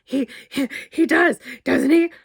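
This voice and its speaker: A woman voicing a loud, hokey, boastful male lawyer ghost in a short story, brash and excitable. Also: high-pitched, takes it slowly, gravelly, dry recording, studio quality, pitched above the performer's own voice, exaggerated voice. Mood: giddy mockery. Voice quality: loud fake hokey laugh. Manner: brash, stuttering with excitement, repeats himself.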